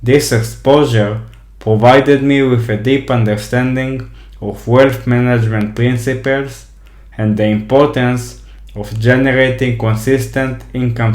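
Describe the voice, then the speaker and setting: A man in his thirties from Israel with an Israeli accent, reading a scripted introduction to his course in a steady, measured way. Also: mid-range voice, roomy sound. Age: 30s. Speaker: course instructor.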